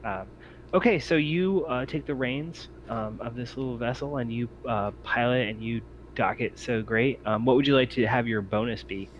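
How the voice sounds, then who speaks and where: A man's voice: mid-range voice, moderate pace. A man running an online tabletop game as game master, speaking casually with hesitant, encouraging phrasing. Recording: muffled, noisy home mic on online call.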